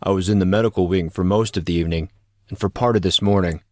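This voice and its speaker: A man's voice: sits low, fairly even intonation, fast, no room sound, clean studio sound, close to an everyday voice. A man playing a weary, loyal teenage boy in an audio drama, talking in a casual but serious way.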